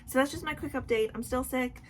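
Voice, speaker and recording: A woman's voice: high voice, fairly quick, a little hiss or hum. A young woman with a sick, sore throat chatting casually in a nightly vlog from home, apologetic and full of ums and likes. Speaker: vlogger.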